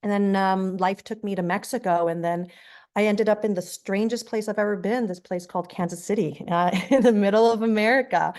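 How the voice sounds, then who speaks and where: A woman's voice: mid-range voice, fairly even intonation, a little fast, darkish tone. A woman from Afghanistan, a non-profit executive director, speaking earnestly and with passion on a video call panel. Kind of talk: panel introduction, personal story.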